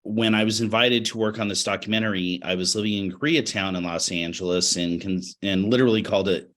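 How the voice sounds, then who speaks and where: Deep, slightly raspy American man with a cold. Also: fairly even intonation, fast talker, a bit bright. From Utah, United States. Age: middle-aged. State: has a cold. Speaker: interview guest, education professional. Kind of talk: reflective webinar interview.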